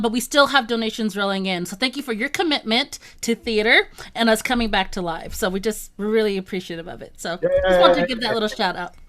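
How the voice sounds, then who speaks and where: A woman with a crisp, bright voice co-hosts an informal live show. She talks in an upbeat way and puts clear emphasis on her points. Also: fairly high pitch. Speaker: talk show co-host. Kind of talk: casual live online chat.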